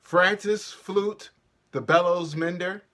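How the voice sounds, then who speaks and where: A man's voice: high-pitched, fairly even intonation, takes it slowly, sharp tone, pitched above the performer's own voice, exaggerated voice. A man playing a fussy, businesslike carpenter directing amateur players, declamatory and instructive in a Shakespeare reading. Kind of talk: theatrical Shakespeare staged reading.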